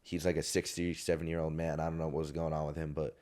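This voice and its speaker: Very deep-voiced young man telling a story. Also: flat delivery, fast talker, a little mellow. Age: 20s. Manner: rambling, many restarts and fillers. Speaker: podcast guest. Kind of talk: casual storytelling. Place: podcast studio.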